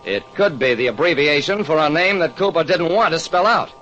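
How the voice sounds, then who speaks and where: A man's voice: on the high side, expressive, brisk, fairly bright tone, a little hiss or hum. A man playing a shrewd, wry hardboiled private detective narrating an old radio mystery. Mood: wry, probing. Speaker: narrator and lead actor. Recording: muffled old radio recording.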